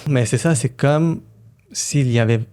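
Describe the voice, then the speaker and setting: A man's voice: medium pitch, measured pace, darkish tone, clean tone. A man talking casually and reflectively as a partner in an interview conversation.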